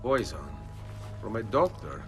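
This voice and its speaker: A man's voice: lowish voice, big pitch swings, a little slow. An actor playing a determined, courteous young assassin nobleman in a video game, with an Italian accent and a direct manner. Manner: resolute, direct. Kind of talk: dramatic video game dialogue. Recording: game music behind the voice.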